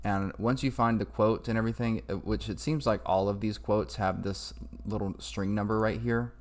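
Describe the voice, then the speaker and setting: A man talking through a casual, improvised software tutorial in an informal way. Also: lowish voice, steady tone, normal speed. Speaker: tutorial maker. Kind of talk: casual screen-recorded tutorial.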